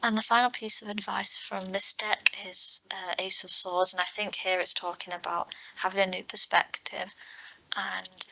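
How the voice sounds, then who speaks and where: Woman with creaky voice giving tarot reading. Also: medium pitch, little pitch movement, normal speed, pushed and bright, slight background noise, low-quality recording. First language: English. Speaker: tarot reader, online host. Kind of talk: unscripted tarot card reading to viewers.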